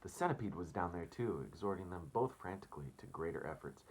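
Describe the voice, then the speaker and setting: A man's voice: deep voice, animated, quick pace, a little hiss or hum. A man reading a storybook aloud as a teacher, expressively, and addressing children casually.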